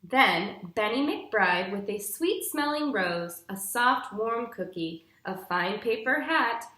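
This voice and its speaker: Slow, animated young woman reading to children. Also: medium pitch. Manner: deliberate, instructive, clearly phrased storytelling. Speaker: teacher reading aloud to pupils. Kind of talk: picture-book read-aloud for young children. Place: small living room, indoors. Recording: roomy indoor echo.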